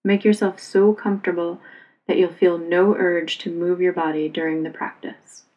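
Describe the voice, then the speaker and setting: A woman yoga instructor leading a guided relaxation meditation in a calm, soothing, unhurried manner. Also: lowish voice, lo-fi sound.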